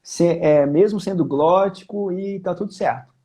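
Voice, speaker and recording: Male Brazilian vocal teacher, high-pitched voice, informal, emphatic, conversational technical explanations. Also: moderate pace, warm tone. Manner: informal, emphatic, repeats key points. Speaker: singing teacher, guest.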